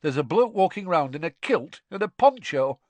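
A blunt older campsite keeper, gruff and loud, who eyes everyone with suspicion, as a man puts him on in a storybook reading. His voice swings up and down as he talks. Recorded dry and close. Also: fairly high pitch, very animated, moderate pace, no room sound, voice pushed up. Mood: suspicious chatty.

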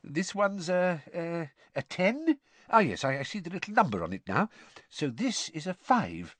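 A cheerful middle-aged wizard father, chirpy and high, who trips over his words when he gets flustered, as a man puts him on for a storybook. His voice leaps about in pitch. Dry and close, with no room around it. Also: huge pitch swings, normal speed, slightly rough, no room sound, exaggerated voice. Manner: bright, flustered, stammering.

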